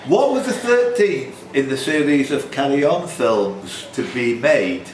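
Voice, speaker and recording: Bloke reading quiz questions in a pub, bit low voiced, echoey with noise around him. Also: male voice, expressive, a little slow. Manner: informal, with chatty asides between questions. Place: indoor pub. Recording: roomy pub recording with background noise.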